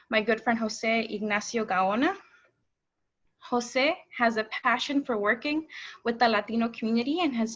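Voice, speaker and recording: A woman's voice: a little high, fairly bright tone. A young woman from El Salvador, hosting a webinar over a video call with polite, formal announcements. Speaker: webinar host. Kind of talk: scripted webinar introduction. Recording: slightly dull video call audio.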